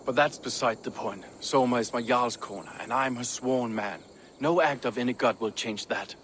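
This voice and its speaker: An actor playing a proud, ambitious but loyal Viking shipbuilder, speaking in a measured, earnest way for a video game. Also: male voice, medium pitch, fairly bright tone, slight background noise, natural voice. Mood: frank, proud. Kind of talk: dramatic video game cutscene dialogue.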